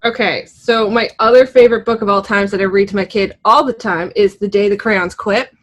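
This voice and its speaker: A woman's voice: medium pitch, steady tone, brisk. A woman chatting casually and reading aloud to a group. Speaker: librarian reading book reviews aloud.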